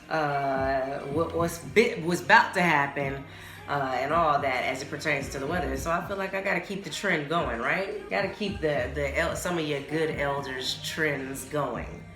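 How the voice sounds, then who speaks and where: Low-voiced American woman, blunt podcast host. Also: measured pace. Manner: blunt, profane, opinionated. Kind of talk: casual solo podcast talk. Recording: roomy echo, music behind the voice. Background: music.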